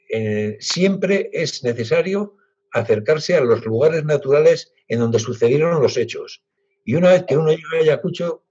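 A man's voice: medium pitch, darkish tone, husky. A Spanish man in his sixties, a guest author, speaking reflectively with many restarts over a video call from his home library. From Spain, Basque Country. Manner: reflective, halting with frequent restarts. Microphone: laptop webcam on video call. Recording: dull lo-fi video call audio.

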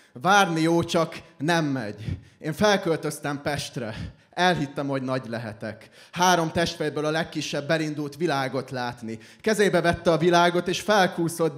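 A young man from Hungary reciting slam poetry live with an emphatic, dramatic delivery. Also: on the high side. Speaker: slam poet performing.

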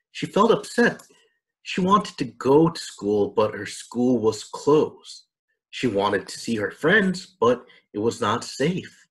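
A man in his thirties reading and presenting for children and families in an explanatory, deliberate way. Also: fairly low pitch, fairly bright tone. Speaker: storybook reader and presenter. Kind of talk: scripted narration for children and families.

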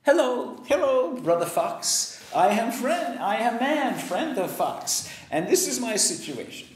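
A man voicing a frightened man who pleads loudly for his life and stammers. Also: very high voice, very animated, unhurried, roomy sound, slight background noise, pitched above the performer's own voice, heavily acted. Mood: flattering, pleading.

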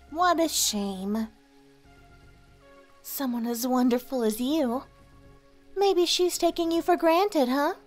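A woman's voice: high-pitched, darkish tone. A woman playing a sweet, clingy, possessive young neighbor in love, coyly addressing the listener in an ASMR roleplay. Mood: coy, insinuating. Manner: coy, sugary, insinuating, hesitant.

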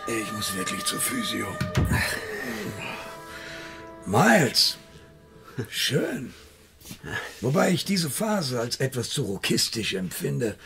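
An actor playing a menacing, pompous, world-weary debt collector who lectures deliberately in a German radio crime drama. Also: male voice, medium pitch, wildly swooping pitch, gravelly, fairly bright tone, faint music behind, full character voice. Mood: condescending, calm.

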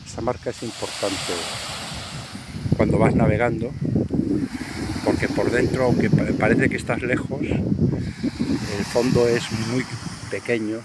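A man's voice: medium pitch, flat delivery, slow, long pauses. Chatty older man vlogging outdoors. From Spain. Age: 60s. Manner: chatty, talks to viewers while walking and working. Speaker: retired sailor vlogger. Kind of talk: casual vlog narration. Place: outdoors on a boat, beach and town. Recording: noisy outdoor camera audio.

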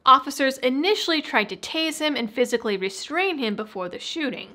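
A woman's voice: high voice, lively intonation, fairly quick, sharp tone. A young adult woman delivering a scripted news rundown as host, with wry, informal asides. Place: small indoor studio setup.

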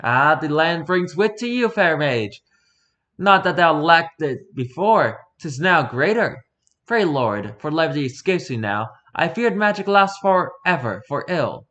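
Theatrical stage lords in old-style speech, a man doing all the voices, high-pitched. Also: big pitch swings, a little slow, bright, forceful tone, full character voice. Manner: mock-Shakespearean, theatrical. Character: archaic-speaking nobles in a stage play.

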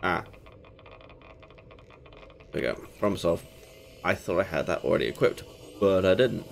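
A man's voice: low-pitched, moderate pace, creaky, a little hiss or hum. A young British man hosting a casual game playthrough, chatty and rambling, a bit congested from a cold. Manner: chatty, rambling, self-correcting. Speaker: gaming channel host. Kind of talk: casual let's play commentary. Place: home gaming setup, indoors. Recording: game music playing under voice.

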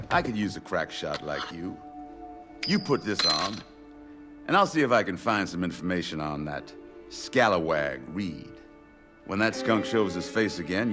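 Sly, theatrical American frontier mayor. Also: male voice, sits low. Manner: theatrical, folksy, flowery phrasing. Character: smooth, sly frontier town mayor and lawman boss. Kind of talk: video game western acting. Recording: music behind the dialogue.

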